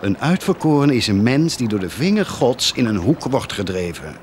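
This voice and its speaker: An actor playing an anguished, guilt-ridden parish priest in a radio drama, solemn, halting and tormented. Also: male voice, mid-range voice, very animated, a little mellow, a little hiss or hum, close to an everyday voice. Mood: anguished, confessing.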